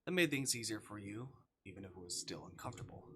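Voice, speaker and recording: A man's voice: on the low side, lively intonation, fast talker, fairly bright tone, slight background noise. A man streaming, reading visual novel narration and dialogue aloud expressively, with occasional stumbles over the text.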